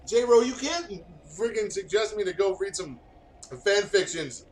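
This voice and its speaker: A man's voice: high voice, expressive, measured pace, low-quality recording. A man reads fan fiction aloud in a casual way, stumbling over words and breaking off for joking, sweary banter. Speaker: guest reader on a gaming video.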